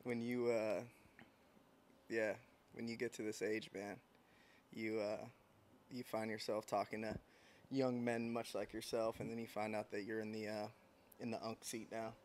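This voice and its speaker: A man in his thirties from San Diego, a podcast guest talking in a reflective, unhurried way with frequent restarts. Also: fairly low pitch, level tone, slight background noise. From San Diego, United States. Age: 30s. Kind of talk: casual interview chat.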